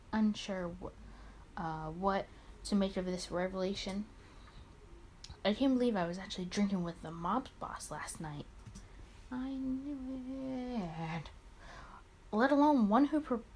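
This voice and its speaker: A woman's voice: medium pitch, darkish tone, a little hiss or hum. A woman hosting a let's play, reading a visual novel aloud expressively with casual muttered asides and small stumbles.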